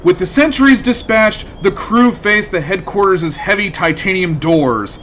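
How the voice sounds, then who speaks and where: A man's voice: high-pitched, expressive, unhurried, a bit bright, slight background noise. A man playing a wry storyteller, delivering dramatic comic narration for a sci-fi audio adventure.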